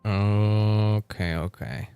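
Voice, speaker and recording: A man's voice: lowish voice, fairly even intonation, drawn-out words, warm tone, smooth, faint music behind. A man doing casual, chatty livestream commentary on a video game, reacting aloud and reading bits of text as he goes. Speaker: livestream host.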